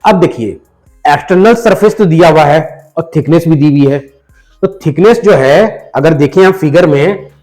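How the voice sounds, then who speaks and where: A man with a hoarse voice from a cold, patiently explaining a math lesson step by step and talking straight to the viewer. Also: a little high, animated, a little mellow, soft background music. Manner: patient, addresses the viewer directly, repeats numbers slowly. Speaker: math teacher.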